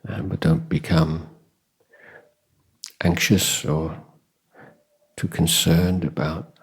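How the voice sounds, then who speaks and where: A man giving guided meditation instruction as a Buddhist teacher, calm and measured, with long pauses and a husky, breathy voice. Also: low-pitched, poor audio. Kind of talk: guided meditation dharma talk.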